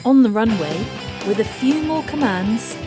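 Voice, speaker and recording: A woman narrating a children's storybook aloud, with deliberate, rhythmic delivery of rhymed phrases. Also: on the high side, animated, music in the background. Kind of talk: scripted storybook read-aloud.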